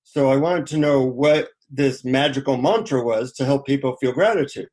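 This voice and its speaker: A man's voice: medium pitch, moderate pace, darkish tone, slightly rough. A middle-aged man speaking as an interview guest, informally sharing anecdotes and advice in a conversational way.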